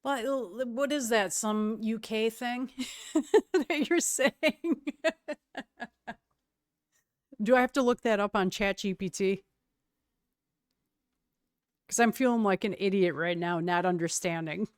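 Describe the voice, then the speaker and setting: A woman's voice: mid-range voice, slow, pauses often, clean tone, no echo, clean studio sound. A young woman from Wisconsin with a slight Wisconsin accent, chatting casually with her stream's chat and laughing often.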